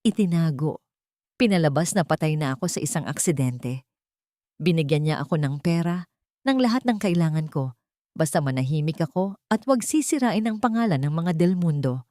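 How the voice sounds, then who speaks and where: A woman's voice: low voice, lively intonation, normal speed, warm tone, dry recording, clean studio sound. A woman with a Filipino accent voicing a frightened, bitter woman in hiding, pained and dramatic, in a story.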